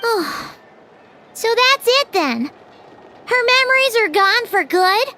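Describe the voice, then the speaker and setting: A woman voicing a tiny floating girlish companion who is bubbly, blunt and quick to exclaim and tease. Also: extremely high pitch, expressive, full character voice. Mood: curious. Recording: game audio with background noise.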